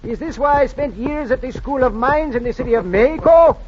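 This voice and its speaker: Weary, grumbling Mexican cowhand, high voice, theatrical 1940s radio, muffled vintage sound. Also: male voice, a little fast. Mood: exasperated. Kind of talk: 1940s radio drama acting. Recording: dull, noisy vintage radio recording.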